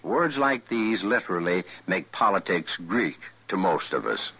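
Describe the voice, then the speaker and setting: A man's voice: deep voice, very animated, a little slow, very noisy. A man narrating a scripted educational radio piece in an old-fashioned style, with didactic, emphatic enunciation.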